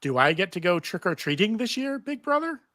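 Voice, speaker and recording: A man's voice: high-pitched, sing-song, a little fast, bright, forceful tone, voice pushed up, full character voice. A man voicing a sweet, naive little cartoon girl in a cutesy, eager way at a live table read.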